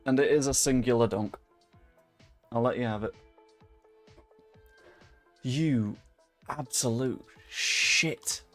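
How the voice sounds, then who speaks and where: A man's voice: mid-range voice, slow pace, long pauses. A young man chatting casually as he plays a football management game, talking to his viewers and cursing under his breath. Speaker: gaming YouTuber. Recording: dry close mic with game music behind.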